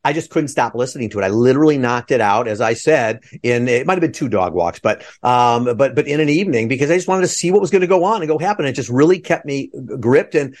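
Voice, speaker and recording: A man's voice: medium pitch, expressive, quick pace, few pauses, a little mellow. A man hosting an interview podcast over a video call, talking in an effusive, rambling, digressive way. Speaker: podcast host.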